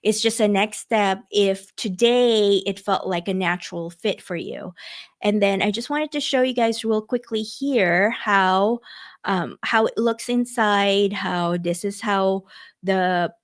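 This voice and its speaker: A woman's voice: mid-range voice, slow pace. A middle-aged woman from the Philippines hosting an online workshop, chatty, with many fillers and restarts, speaking into a computer mic. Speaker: workshop host. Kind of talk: casual online workshop presentation.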